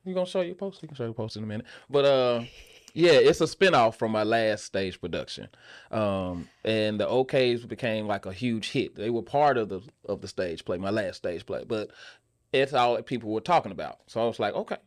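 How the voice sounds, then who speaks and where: A man chatting casually as a podcast guest, telling stories in an animated way and repeating phrases for emphasis. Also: fairly low pitch, a little fast, no echo, studio quality. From Memphis, USA. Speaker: podcast guest, actor and stage playwright. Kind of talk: casual conversational interview talk.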